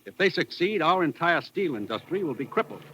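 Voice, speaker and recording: A man's voice: a little high, very animated, brisk, a bit bright, lo-fi sound. An actor playing a grave, authoritative American intelligence chief briefing his agent in a vintage radio drama. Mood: grave, urgent. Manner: earnest, authoritative. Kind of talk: 1950s radio acting. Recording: noisy vintage radio recording.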